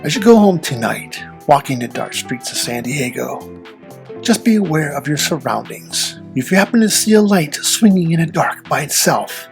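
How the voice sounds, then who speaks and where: A suave devil, acted out by a performer, speaks in measured, archaic phrases with a gravelly, creaky edge. The folktale menace is courtly and ominous, and music plays underneath. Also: mid-range voice, sing-song. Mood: gloating, ominous. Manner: formal, archaic, measured diction.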